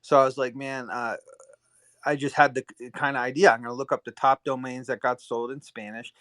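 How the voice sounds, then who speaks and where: A man's voice: mid-range voice, level tone, brisk, a bit bright, no echo. A man in a casual online chat, talking hesitantly and restarting his sentences. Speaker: guest speaker.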